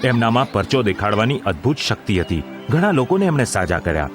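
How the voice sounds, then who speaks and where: A man's voice: fairly low pitch, sing-song, a little fast. A man narrating a scripted audio drama in a formal, literary storytelling manner. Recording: music under the voice.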